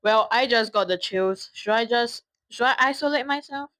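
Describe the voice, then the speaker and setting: A woman's voice: on the high side, normal speed, a bit bright, dry recording. A young woman from Singapore giving casual reaction commentary, informally talking back to the video she is watching.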